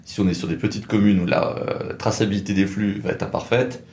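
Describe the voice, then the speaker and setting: A man's voice: fairly low pitch, fairly even intonation, a little fast, a little mellow. A man co-presenting a legal explainer in a methodical way with formal phrasing. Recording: echoey room.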